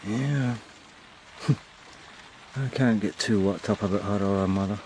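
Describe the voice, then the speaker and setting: An actor playing a cynical, world-weary police detective talking offhandedly in a radio crime drama. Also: male voice, on the low side, takes it slowly, a little mellow, a little hiss or hum, poor audio. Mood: jaded, offhand. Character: jaded, cynical male police detective off duty.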